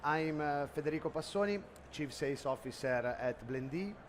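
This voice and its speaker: A middle-aged man with an Italian accent, giving a business presentation at a trade expo and often pausing on "uh". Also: on the high side, lively intonation, slight background noise. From Italy. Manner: hesitant, many "uh" fillers. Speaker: conference speaker. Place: expo hall stage.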